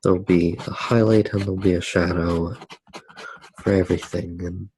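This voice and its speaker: A soft-spoken man musing hesitantly through a drawing demonstration in ASMR style. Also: deep voice, steady tone, moderate pace, a little hiss or hum, rough recording. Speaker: ASMR artist.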